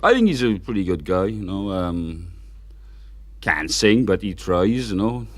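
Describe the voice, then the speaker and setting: A man's voice: low-pitched, sing-song, a little slow. A middle-aged man, a band drummer, speaking in a casual interview with a dry, teasing, hesitant manner.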